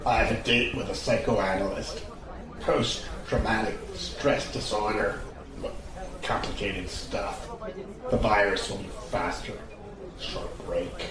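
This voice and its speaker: Man playing cynical, war-weary soldier, slow and low, sardonic and blunt, audio drama pub scene with crowd chatter. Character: world-weary cynical male soldier back from border duty. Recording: distant, very echoey, crowd noise behind.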